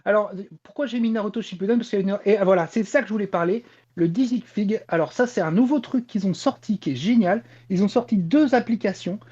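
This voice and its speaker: Mellow-voiced Frenchwoman, slightly muffled recording. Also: mid-range voice. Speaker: podcast panelist and reporter on Japanese pop culture. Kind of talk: informal panel talk, explaining enthusiastically.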